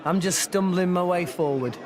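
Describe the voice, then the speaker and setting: A man's voice: high voice, normal speed, background noise, natural voice. A young man playing an earnest, plain-spoken, headstrong teenage boy hero in a video game. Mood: unsure. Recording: game music and crowd bustle behind.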